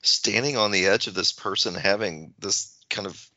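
Deep, bright-voiced man, halting and reflective. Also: no room sound. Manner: halting, self-correcting. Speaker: podcast co-host; teacher. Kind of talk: casual reflective discussion. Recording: slightly dull, lo-fi audio.

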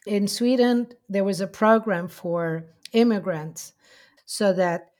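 A middle-aged woman with an Argentine Spanish accent, telling her life story in a slow, heartfelt way with many pauses. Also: medium pitch, darkish tone. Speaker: interviewee. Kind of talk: reflective personal storytelling.

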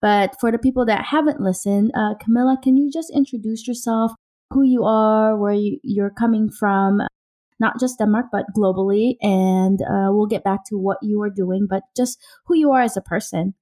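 A woman with a mellow, warm voice hosts a podcast in a chatty, upbeat way. She often restarts her sentences as she talks, which gives the conversation a loose, casual feel. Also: medium pitch, normal speed. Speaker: podcast host. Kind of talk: casual interview chat.

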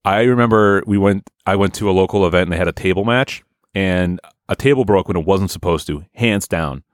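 Booming, profane man chatting casually. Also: fairly quick, no room sound, clean studio sound. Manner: profane, loud storytelling, booming voice. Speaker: podcast co-host. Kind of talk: casual chat.

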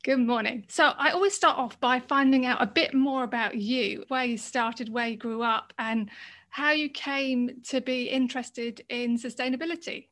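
Woman host with a slightly high voice. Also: steady tone, normal speed, a bit bright. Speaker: podcast host. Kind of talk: scripted introduction and interview questions.

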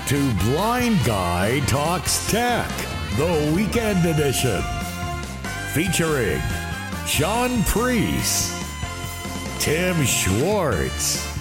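A podcast announcer reading a scripted intro over loud music. The delivery is extremely slow, with hugely swooping pitch. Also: huge pitch swings.

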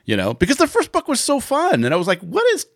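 A man chats casually as a podcast host, informal and blunt, his pitch swinging in a lively way. The sound is close and dry. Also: quick pace, creaky, dry recording. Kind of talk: casual chat.

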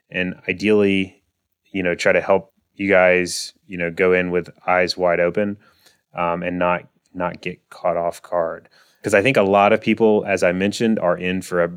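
A man's voice: sits low, clear, resonant tone. A man in his thirties hosting a finance podcast, talking casually with frequent fillers and restarts. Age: 30s. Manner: relaxed, lots of fillers and restarts. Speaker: podcast host. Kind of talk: casual solo podcast talk.

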